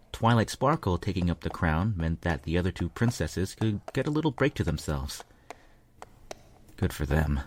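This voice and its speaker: A man narrates fiction in a very low voice. His delivery is earnest, expressive and measured. Music is clearly heard behind him throughout. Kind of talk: dramatic first-person story narration.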